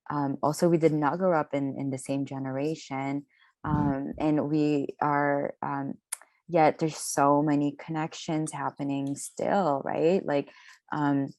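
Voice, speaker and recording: A woman with a very low voice talks in a dry, close-miked sound. She pauses often with fillers as she gathers her thoughts at an artist panel. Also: dry recording. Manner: hesitant, many ums, warm agreeing. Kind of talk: panel conversation.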